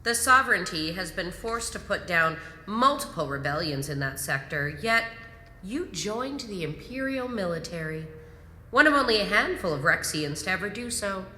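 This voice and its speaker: An actress playing a composed, commanding imperial grand marshal in a sci-fi audio drama, measured and probing. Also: female voice, mid-range voice, very animated, pushed and bright. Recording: echoey hall reverb, music underneath.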